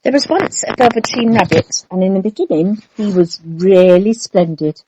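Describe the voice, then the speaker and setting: A woman narrating a children's storybook in an expressive, measured storytelling manner. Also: medium pitch, background noise. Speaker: storybook reader.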